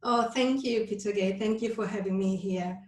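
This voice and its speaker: A woman's voice: mid-range voice, moderate pace, far from the mic. A woman in her forties from Switzerland with a Swiss Italian accent, a guest on a podcast who speaks friendly and sometimes searches for words. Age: 40s. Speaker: guest, face yoga instructor. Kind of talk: casual podcast interview. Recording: roomy sound, voice away from the mic, faint music.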